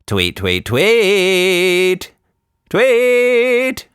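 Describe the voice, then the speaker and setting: A man's voice: sits high, huge pitch swings, drawn-out words, fairly bright tone, clear, resonant tone, dry recording, studio quality, voice pushed up, full character voice. Man voicing a chirpy, happy storybook monkey. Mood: singing brightly. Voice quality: slightly out-of-tune singing. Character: cheerful singing monkey, proud, happy, musically untrained.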